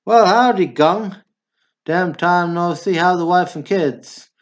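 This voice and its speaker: A man's voice: high voice, slow, lo-fi sound. A man playing a blunt, bickering small-town Southern brother in a radio drama. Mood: needling. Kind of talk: stage comedy dialogue, radio drama acting.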